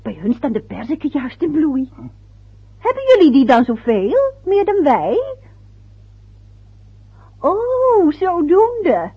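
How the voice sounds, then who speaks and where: High-pitched, flustered mother, tinny radio. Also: wildly swooping pitch, slow, pauses often, exaggerated voice. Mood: chatty, nervous. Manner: flustered, hesitant, exclaiming. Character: flustered, sentimental mother phoning her faraway son's family. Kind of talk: radio play acting. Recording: tinny, narrow-band old radio recording.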